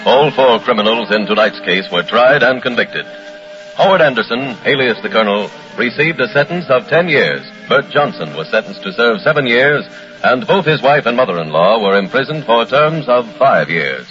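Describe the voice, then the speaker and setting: A male narrator delivers formal, dramatic crime-show narration with a mid-pitched voice. It is a muffled old radio broadcast with music clearly behind him. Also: animated, normal speed.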